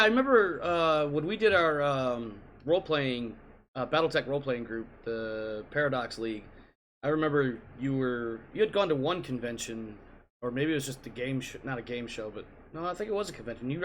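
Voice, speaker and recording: A man's voice: medium pitch, lively intonation, a little fast, fairly bright tone, a little hiss or hum, low-quality recording. A man hosting a game league show from his home computer, talking casually with playful asides and jokes. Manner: joking, rambling, playful asides. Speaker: league host. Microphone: computer mic at home desk.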